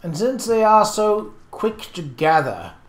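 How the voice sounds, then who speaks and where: Slow, animated man in a roomy room. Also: high voice. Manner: chatty, easily distracted, thinks aloud while playing. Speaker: Let's Play host. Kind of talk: casual gameplay commentary.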